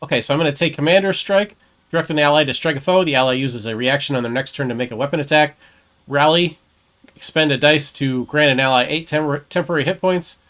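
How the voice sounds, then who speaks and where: A man's voice: fairly high pitch, a bit bright. A man giving casual commentary on a video game he is playing, sometimes reading game text aloud.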